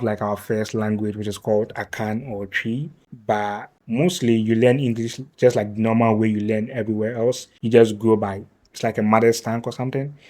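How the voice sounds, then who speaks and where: A man's voice: fairly low pitch, a little mellow. Chatty young Ghanaian man vlogging. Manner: chatty, hesitant, with many fillers and restarts. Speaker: YouTuber. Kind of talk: casual vlog, answering questions to camera.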